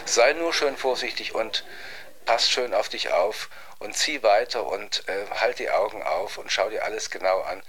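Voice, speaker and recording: A man's voice: on the low side, moderate pace, a bit bright, lightly acted, low-quality recording. A man as kindly, worldly-wise flamingos. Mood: kindly advising. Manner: kindly, advising. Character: flock of friendly, worldly-wise pink flamingos. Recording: music playing behind the voice.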